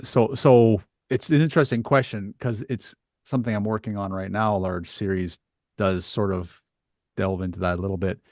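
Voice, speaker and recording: Thoughtful low-voiced man hosting an interview. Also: fairly even intonation, normal speed, darkish tone, dry recording, studio quality. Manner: thoughtful, tentative phrasing. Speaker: podcast host. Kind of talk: interview conversation.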